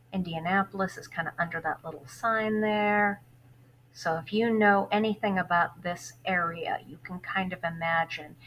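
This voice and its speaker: A woman teacher giving a read-aloud lesson, pausing to explain things directly to her students. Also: lowish voice, fairly bright tone, a little hiss or hum, rough recording.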